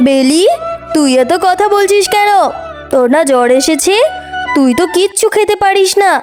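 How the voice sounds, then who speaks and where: A woman's voice: extremely high pitch, expressive, moderate pace. A woman voicing grown-up village animal women in a children's cartoon, motherly but firm, with music behind her. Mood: firm, scolding.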